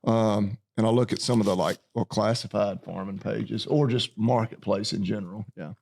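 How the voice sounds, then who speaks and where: Gravelly low farmer. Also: male voice, steady tone, normal speed, darkish tone. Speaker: podcast co-host, farmer. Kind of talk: casual chat.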